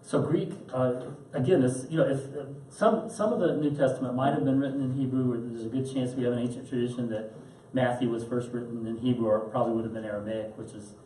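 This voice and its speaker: A man teaching a class and answering questions in a thoughtful, halting way. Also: medium pitch, fairly even intonation, warm tone. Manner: thoughtful, halting with fillers and restarts. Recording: roomy echo, mic at a distance, faint hiss.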